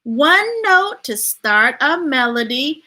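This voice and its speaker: A woman hosting a children's storytime, reading aloud in an expressive, playful, emphatic way with chatty asides to viewers. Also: sits high, takes it slowly.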